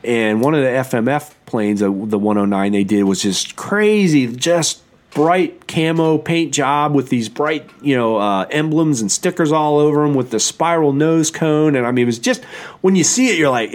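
A man's voice: medium pitch, normal speed. A man hosts a casual podcast chat, his voice worn from a sore throat. He rambles enthusiastically, stacking up fillers as he goes. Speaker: podcast host.

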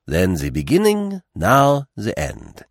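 A man's voice: deep voice, sing-song, unhurried, no echo, clean studio sound, full character voice. A man voicing a fussy, dignified Belgian detective with a French accent and a precise, theatrical, philosophical manner, in a mystery audiobook.

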